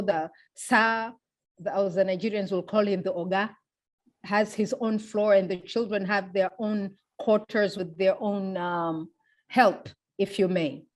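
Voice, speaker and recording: A woman with a Tanzanian accent, speaking in a measured, deliberate, explanatory way in a reflective interview. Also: medium pitch, fairly even intonation. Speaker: interviewee, feminist public intellectual. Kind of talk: reflective oral history interview.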